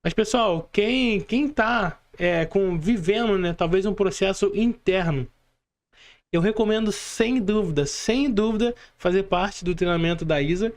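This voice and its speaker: High-voiced Brazilian man chatting. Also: unhurried. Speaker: podcast co-host. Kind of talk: casual chat.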